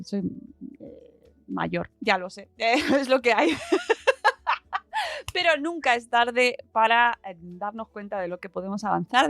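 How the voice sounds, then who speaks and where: A woman's voice: medium pitch, vocal fry, no echo. A middle-aged woman with a Spain accent chatting casually and informally as a podcast host. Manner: chatty, informal, laughs often. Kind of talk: casual chat.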